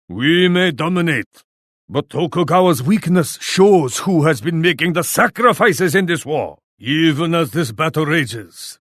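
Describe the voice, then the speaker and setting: A man's voice: fairly high pitch, a bit bright, no room sound, clean studio sound. An actor playing a proud, grim Japanese warlord in a video game, solemn and declamatory as he addresses troops and allies. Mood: proud, boastful, then menacing, imperious. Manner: solemn, declamatory, speaks of himself in third person. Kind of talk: theatrical video game voice lines.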